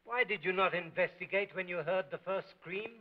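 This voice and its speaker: A stern, middle-aged police prefect acted by a man with a slightly raised pitch. He questions curtly and impatiently. The sound is that of a muffled 1930s film. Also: a little fast, natural voice. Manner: curt, questioning.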